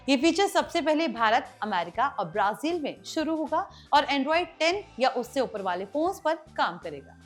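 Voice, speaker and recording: A woman's voice: high-pitched, fairly bright tone. A woman with an Indian accent hosting tech news, explaining scripted items in a presenter's manner. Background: background music.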